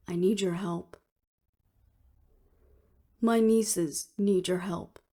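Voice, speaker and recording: A woman's voice: medium pitch, dark tone. A woman acting in an audio roleplay as an earnest, weary official who speaks formally and seriously. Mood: firm, pleading.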